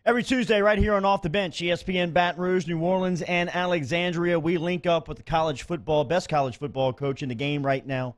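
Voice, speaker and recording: A man's voice: fairly high pitch, steady tone, fairly quick, hardly any pauses. A man hosting a sports radio show, interviewing in a conversational style.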